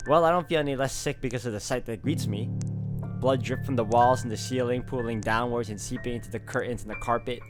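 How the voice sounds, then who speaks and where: A man's voice: mid-range voice, fast talker. A man reading a visual novel aloud as a playthrough, dropping in wry casual asides. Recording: music behind the voice.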